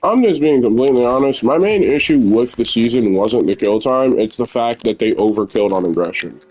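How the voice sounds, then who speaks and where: A young man with a naturally loud voice, chatting candidly and rambling while he plays a video game at home. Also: medium pitch, lively intonation, fairly quick, breathy edge, rough recording. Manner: rambling, candid, filler-heavy. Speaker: gamer streamer. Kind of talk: casual gameplay commentary.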